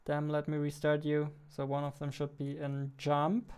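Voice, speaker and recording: A man's voice: a little high, monotone, normal speed, a little mellow, clean tone. A man in his twenties, a game developer, muttering to himself in short fragments as he casually works through code. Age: 20s. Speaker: indie game developer, host. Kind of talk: casual thinking-aloud coding devlog. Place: indoors at a computer desk. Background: faint music, keyboard typing and clicking.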